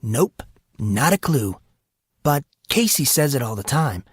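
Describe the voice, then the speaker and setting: A man's voice: mid-range voice, sing-song, measured pace, husky, no room sound, clean studio sound, pitched above the performer's own voice. A man voicing a joking, cocky fourteen-year-old boy with a breezy, playful manner in an audiobook. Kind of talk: audiobook character voice.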